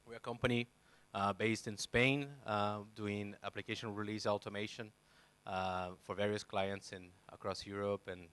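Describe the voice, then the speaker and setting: A man in his forties introduces himself on a conference panel. His voice sits low and barely moves in pitch. He speaks haltingly into a handheld microphone. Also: level tone, a bit bright, smooth. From Brazil. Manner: hesitant, many fillers and restarts. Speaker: panelist, software company CEO. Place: conference stage.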